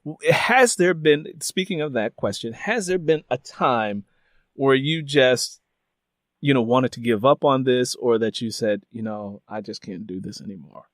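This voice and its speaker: Guy hosting a chat, medium pitch, rambly storyteller, says you know a lot, no room sound. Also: measured pace, dry recording, clean studio sound. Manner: meandering, frequent "you know". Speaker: interviewer and host. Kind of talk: casual interview with personal storytelling.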